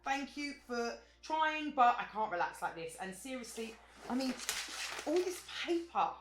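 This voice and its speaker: A woman's voice: sits high, animated, a little slow. A woman playing a sardonic, exasperated but loyal friend in a naturalistic audio drama, with a dry manner. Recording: roomy, echoing sound.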